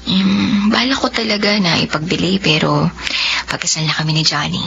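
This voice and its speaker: A woman playing Nanette, a young woman who is hurt and grieving, going from tearful accusation to soft, whispery tenderness. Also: on the low side, normal speed, vocal fry, a little hiss or hum. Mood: quiet, weary. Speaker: lead character. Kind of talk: dubbed melodrama acting. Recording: dull lo-fi sound with faint music.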